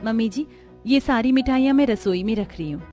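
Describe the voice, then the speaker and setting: A woman's voice: fairly high pitch, fairly quick, dark tone, soft background music, lightly acted. A woman playing a modest, polite, hardworking young daughter-in-law in a cartoon family story. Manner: polite, unassuming.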